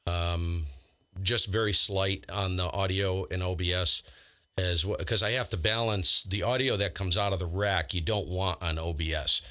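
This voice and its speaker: Male ham operator, low pitch with a forceful bright edge, informal rambling explainer. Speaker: amateur radio operator and livestreamer. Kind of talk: casual ham radio chat.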